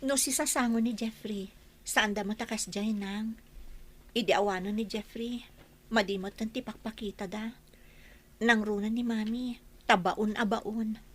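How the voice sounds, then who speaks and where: A woman's voice: mid-range voice, steady tone, unhurried, long pauses, close to an everyday voice. A woman acting a patient, dutiful young village wife and daughter in a radio drama. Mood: hurt, patient.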